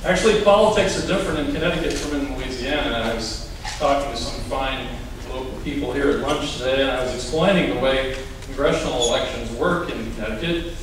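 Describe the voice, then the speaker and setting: A man giving a lecture at a political rally, with a wry, deadpan humor. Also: mid-range voice, a little fast, few pauses, noisy background. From Hawaii. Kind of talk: lecture with dry jokes. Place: large hall before an audience. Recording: echoey hall from a distance.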